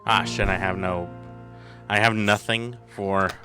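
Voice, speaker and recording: Low voiced guy streaming a game, talks to himself, repeats stuff when flustered, music going behind him. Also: steady tone, a little slow. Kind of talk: casual live gameplay commentary.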